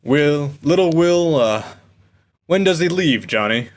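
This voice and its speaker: A man's voice: a little high, animated, slow. A man playing a proud, jovial old small-town father with a hearty, folksy manner in a sci-fi radio play.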